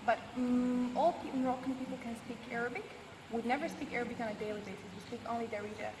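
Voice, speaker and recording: A woman's voice: fairly high pitch, recorded from a distance. Woman in her twenties, echoey hall. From United States. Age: 20s. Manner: relaxed, often pauses with uh. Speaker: interviewee, university student. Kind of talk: casual explanatory interview answers. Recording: echoey hall from afar, background noise.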